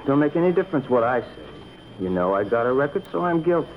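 A sullen, mouthy teenage punk. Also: male voice, medium pitch, big pitch swings, quick pace, a little hiss or hum. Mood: defiant. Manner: resentful, sarcastic backtalk. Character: sullen defiant teenage boy suspect. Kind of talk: 1950s radio crime drama acting. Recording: tinny old radio broadcast.

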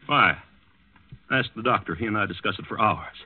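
An actor playing a nervous, haughty count who stammers and repeats himself, in 1940s radio drama style. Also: male voice, on the low side, lively intonation, moderate pace, breathy edge. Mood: uneasy rambling. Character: nervous, agitated Danish count, haughty and morbidly fearful. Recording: muffled vintage 1940s radio recording.

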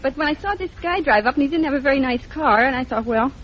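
Female quiz contestant from Boston, high-pitched and lively, chatty, on a muffled old radio recording. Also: quick pace. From Boston, United States. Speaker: quiz show contestant, housewife. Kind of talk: unscripted chatty storytelling. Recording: muffled vintage radio recording, audience behind.

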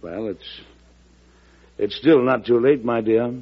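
An actor playing an older president, a tender and hesitant bridegroom, in 1940s radio drama style with halting, gentle delivery. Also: male voice, medium pitch, breathy edge. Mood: wry affection. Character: older president, tender, hesitant groom marrying his young ward. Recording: muffled old radio broadcast.

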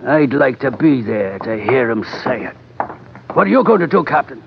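An actor playing an earnest, determined trader in an old-time radio adventure, speaking in a measured, formal way. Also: male voice, mid-range voice, very animated, gravelly. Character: earnest, determined male trader in the colonial East. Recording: dull, noisy vintage radio recording.

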